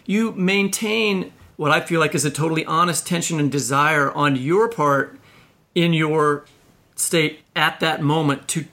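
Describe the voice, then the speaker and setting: A man's voice: fairly high pitch, bright, forceful tone. A man hosting a conversational literary interview, asking long, emphatic questions. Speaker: podcast interviewer, host.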